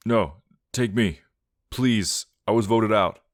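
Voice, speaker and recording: A man's voice: slow pace, dry recording, clean studio sound. A man voicing a frightened young woman who pleads through tears. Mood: desperate. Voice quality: raised falsetto-like pitch.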